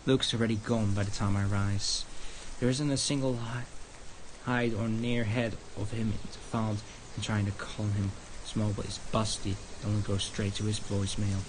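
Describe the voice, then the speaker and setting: A man livestreaming a game, reading visual novel text aloud in a halting, stumbling way. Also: on the low side, level tone, background noise. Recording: loud guitar music behind.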